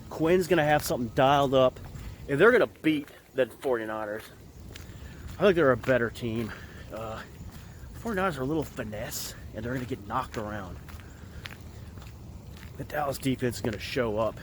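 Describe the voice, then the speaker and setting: Hoarse man rambling outdoors. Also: medium pitch, fairly bright tone, slight background noise. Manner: rambling, halting with many fillers. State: hoarse from a cold. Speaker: vlogger giving football picks. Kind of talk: casual outdoor vlog chat. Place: outdoors at an empty field in winter.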